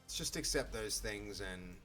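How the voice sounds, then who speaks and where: A man's voice: on the low side, expressive, unhurried, pushed and bright, soft background music. A man with a Dutch accent, a native Dutch speaker, thinking aloud as he codes live on stream, hesitant and full of restarts. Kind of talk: live coding commentary.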